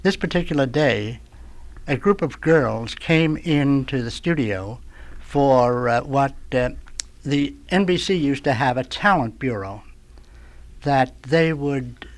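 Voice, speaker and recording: An older man with a medium-pitched voice shares stories as a studio guest on a radio talk show. He is a veteran of the trade who rambles, stops and starts, and often hunts for the right word. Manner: rambling, halting, groping for words. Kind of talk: anecdotal storytelling on a talk show.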